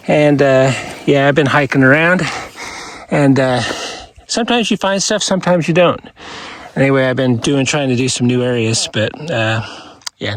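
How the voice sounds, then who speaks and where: A man vlogging casually outdoors, showing finds in short, laid-back muttered fragments with a gravelly, husky voice. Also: medium pitch. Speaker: rockhounding vlogger. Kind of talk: casual outdoor show-and-tell. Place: outdoors on open hilly ground.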